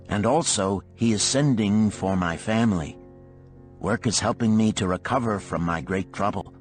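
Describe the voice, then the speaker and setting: A man's voice: fairly low pitch, voice pushed up. A man voicing a hearty, hopeful slave who is now a proud foreman, in earnest, archaic audiobook dialogue.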